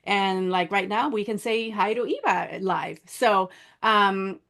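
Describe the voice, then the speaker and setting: A woman co-hosting a livestream, addressing viewers conversationally in measured phrases with some restarts. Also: mid-range voice, a bit bright. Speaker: co-host.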